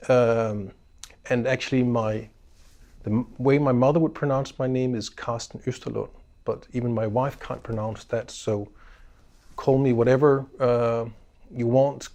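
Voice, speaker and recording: A middle-aged man from Denmark with a Danish accent, a professor giving a measured, unhurried course introduction lecture with frequent ums and uhs. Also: medium pitch, darkish tone.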